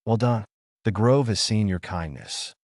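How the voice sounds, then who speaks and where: A man voicing a wise, kindly old forest guardian in a bedtime story, gentle and solemn in his measured delivery. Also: lowish voice, no echo, studio quality, lightly acted. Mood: pleased. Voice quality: soft, gentle.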